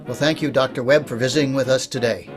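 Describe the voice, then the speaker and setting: A man's voice: mid-range voice, fairly even intonation, fast, music in the background. A man speaking as the host of an interview, putting questions and introducing the program. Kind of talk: interview hosting.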